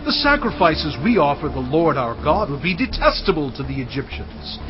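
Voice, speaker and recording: Moses in an audio drama, an earnest higher voice that rises and falls with feeling. He sounds distant and a little dull, with music playing underneath. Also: very animated, moderate pace. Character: Moses, an earnest pleading man.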